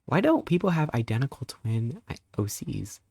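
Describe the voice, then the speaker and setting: A man in his thirties, a live streamer chatting casually and greeting viewers, rambling playfully with sudden squeaky jumps in pitch. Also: sing-song, normal speed, vocal fry, darkish tone, breathy edge, no echo, studio quality. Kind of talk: casual live-stream chat reading chat messages.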